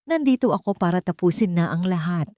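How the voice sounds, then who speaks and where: A woman's voice: on the low side, lively intonation, brisk, mellow, no room sound, studio quality, close to an everyday voice. A woman voicing a determined, devoted young businessman in a romance drama, speaking firmly and earnestly. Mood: resolute. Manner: firm, earnest, declarative.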